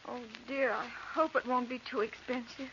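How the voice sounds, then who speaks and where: Anxious affectionate wife, higher voice, genteel, 1940s radio drama, slightly noisy old recording. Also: female voice, fairly even intonation, moderate pace, fairly bright tone, highs cut off. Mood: tender, fretful. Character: fretful, affectionate ailing wife.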